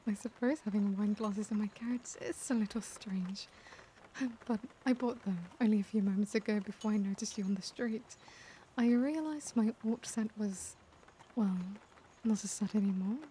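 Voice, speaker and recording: A woman voicing a caring, refined vampire lady in an ASMR roleplay, soft-spoken and unhurried, with genteel, formal phrasing. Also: mid-range voice, slight background noise, lightly acted. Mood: lightly amused. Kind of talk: ASMR roleplay, scripted character acting.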